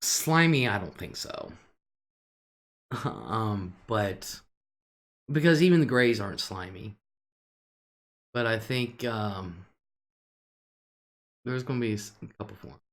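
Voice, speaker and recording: An older woman hosting her own live stream, talking in an unhurried, drawn-out way. Her voice is a deep alto, and she chats loosely with her viewers, answers their comments and laughs between thoughts. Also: expressive. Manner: chatty, laughs often between remarks.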